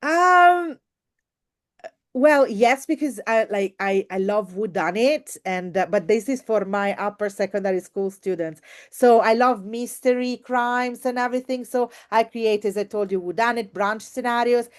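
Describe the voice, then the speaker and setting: A woman's voice: a little high, measured pace. A woman teacher giving an informal online workshop, speaking expressively and effusively and repeating words for emphasis. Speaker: teacher and trainer presenting.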